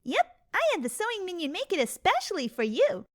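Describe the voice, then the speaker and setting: A woman playing a bubbly, affectionate pony mother in a dramatic fan fiction reading, with a gushing, excitable manner. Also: very high-pitched, a little fast. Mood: cheerful.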